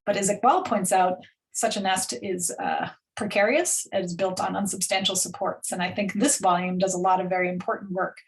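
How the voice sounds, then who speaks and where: A woman giving academic commentary on a book at a panel talk, measured and thoughtful, with frequent ums and soft laughs, speaking over a video call. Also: medium pitch, fairly even intonation. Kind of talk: academic commentary read from notes.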